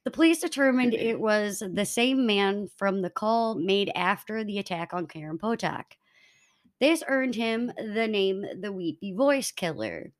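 A woman's voice: medium pitch, expressive, measured pace. A woman co-hosting a podcast, reading out a true-crime case from her notes to her co-hosts. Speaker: podcast co-host.

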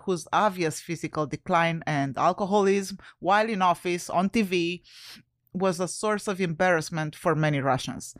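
A woman from Romania, co-hosting a podcast in casual chat, chatty and quick to laugh. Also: medium pitch, no echo, clean studio sound. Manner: chatty, laughs often, occasional word slips. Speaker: podcast co-host.

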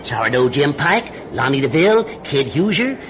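A man's voice: a little high, sing-song, measured pace, fairly bright tone. An American actor, a man, playing a scrawny, chatty sixty-year-old pickpocket with a folksy, wheedling manner in a radio drama. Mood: cocky. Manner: folksy, wheedling, hesitant chuckling talk. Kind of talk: 1940s-style radio drama acting. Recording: muffled, noisy radio drama recording.

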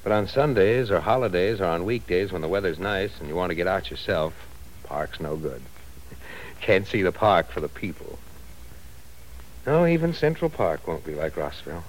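A man's voice: deep voice, animated, normal speed, poor audio. An actor playing a young man, a tender and teasing fiancé, in 1940s radio acting. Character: young man Steve, a gentle reassuring fiancé. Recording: old radio transcription with faint hiss.

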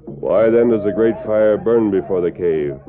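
An actor playing a commanding, righteous masked western hero, with formal, declamatory phrasing in old radio style. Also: male voice, lowish voice, normal speed, close to an everyday voice. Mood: firm. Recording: narrow-band old radio recording, faint music.

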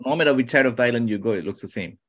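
A man from Sri Lanka, a podcast guest chatting casually, talking in halting bursts with many restarts and sudden emphasis. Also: mid-range voice, lively intonation, fairly bright tone. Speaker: podcast guest, former contestant. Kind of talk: casual chat.

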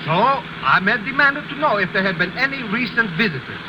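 An actor playing a fussy, plaintive foreign reporter in a 1950s radio drama, with formal phrasing. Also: male voice, high voice, lively intonation, normal speed. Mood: earnest. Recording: noisy vintage radio recording.

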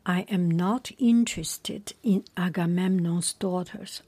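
A proud young Greek hero sulks and refuses, his words whispered slowly by a woman reading aloud. The breathy hush stays close and dry, with no room around it. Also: fairly low pitch, no room sound, heavily acted. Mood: bitter.

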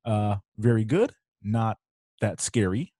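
A man in his late thirties co-hosting a podcast, relaxed and laid-back, swearing casually as he chats. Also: on the low side, takes it slowly, no echo, clean studio sound. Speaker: podcast co-host.

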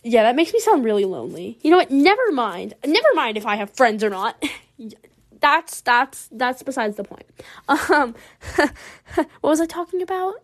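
A young woman with a Southern American accent chats on her own podcast while she draws. She rambles through lots of fillers and small giggles, and her voice sits a little high. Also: big pitch swings, normal speed. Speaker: podcast host. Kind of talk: casual solo chat while drawing.

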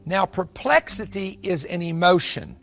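A man's voice: sits high, big pitch swings, measured pace, fairly bright tone. A man in his sixties teaching the Bible in a sermon style, emphatic and given to repeating phrases for weight. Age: 60s. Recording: music bed under the voice. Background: music.

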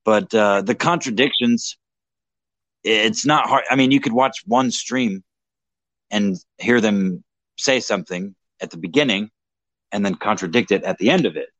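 A man's voice: fairly low pitch, very animated, a little slow, a bit bright, no room sound, low-quality recording. A young man in casual livestream chat, slightly drunk, rambling, swearing and mocking himself. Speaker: livestream co-host.

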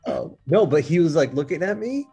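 A man's voice: a little high, brisk, gravelly, slightly rough, faint music behind. Young musician guy telling rambling stories. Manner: rambling, animated, swears freely. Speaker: podcast guest, musician. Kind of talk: casual storytelling chat.

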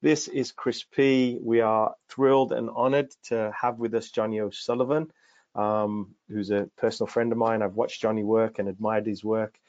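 Low-voiced man hosting a video call. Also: level tone, darkish tone. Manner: friendly, halting, filler-heavy. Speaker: podcast host, coaching director. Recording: dry, dull call audio.

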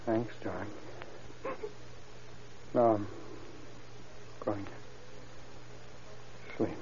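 An actor playing a gentle, badly wounded man, weak and short of breath, in an old radio drama. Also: fairly low pitch, steady tone, very slow. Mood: weak, tender. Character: gentle, badly wounded young sweetheart, fading. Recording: muffled, noisy old radio recording.

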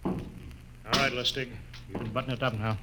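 A man's voice: mid-range voice, steady tone, brisk, lightly acted. A man acting in a classic radio drama as a level-headed, commanding spaceship captain, clipped and authoritative. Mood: firm command. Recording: noisy old radio drama recording.

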